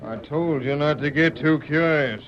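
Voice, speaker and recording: A man's voice: fairly high pitch, measured pace, crowd noise, natural voice. A man acting a surly, threatening outlaw henchman in a radio western, curt and with an American Western drawl. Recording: dull old radio broadcast, busy background.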